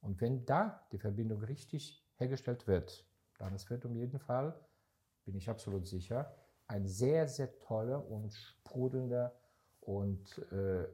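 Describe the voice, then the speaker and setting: Man from Israel, earnest co-host, mellow voice, gropes for words. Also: mid-range voice, measured pace. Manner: searching for words, non-native grammar, earnest and emphatic. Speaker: podcast co-host, investor. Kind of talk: casual podcast conversation.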